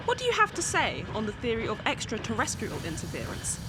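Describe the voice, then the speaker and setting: An earnest young field reporter, played by a woman, with a high, very lively voice asks formal questions. There is clear location noise behind her in this radio drama. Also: fast. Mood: inquiring. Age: young adult. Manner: inquiring, formal. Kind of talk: scripted news interview drama.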